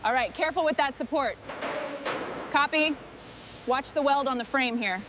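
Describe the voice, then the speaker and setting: A fairly high-voiced woman leads a restoration crew in calm, clipped orders. Every line is short and even. Faint workshop noise hums behind her. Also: normal speed. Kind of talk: scripted workshop dialogue.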